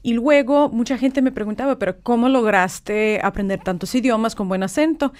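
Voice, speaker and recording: A woman from California, a non-native speaker with English as her first language, explaining in a measured way as a guest on a morning radio interview, with frequent filler words. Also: a little high, darkish tone. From California, United States. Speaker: guest, polyglot author.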